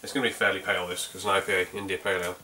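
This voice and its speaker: This is a man with a North Yorkshire accent talking his way through a homemade beer review. His voice sits a touch low but comes across bright and forceful. He rambles in a friendly way, full of fillers and restarts. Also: level tone, very fast, slight background noise, low-quality recording. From North Yorkshire, England. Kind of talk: casual video beer review.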